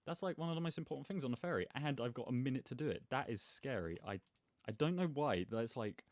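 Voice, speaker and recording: A man's voice: medium pitch, animated, fast talker, darkish tone, no room sound, studio quality. A man giving casual, rambling gameplay commentary as host, with a self-deprecating manner. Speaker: gaming video host.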